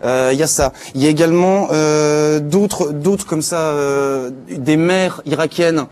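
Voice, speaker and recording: A man's voice: on the high side, unhurried, a little mellow. A man giving an informal spoken chronicle on a live talk show, as a news contributor. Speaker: contributor presenting internet news.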